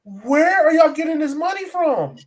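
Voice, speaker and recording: A man's voice: extremely high pitch, big pitch swings, moderate pace, noisy background. A man hosting a sports podcast in a casual, chatty style.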